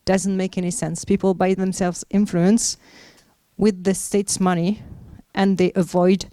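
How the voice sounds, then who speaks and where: Woman exec fielding panel questions; low, mellow and smooth, halting with fillers. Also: little pitch movement, no echo. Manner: hesitant, many fillers and restarts. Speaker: media executive answering questions. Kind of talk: panel Q&A answers.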